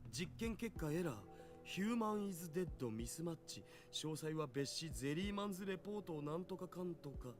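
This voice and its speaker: A man's voice: fairly high pitch, expressive, rapid-fire, soft background music, close to an everyday voice. An actor playing an uneasy young male hacker sidekick in a visual novel, talking casually and hesitantly.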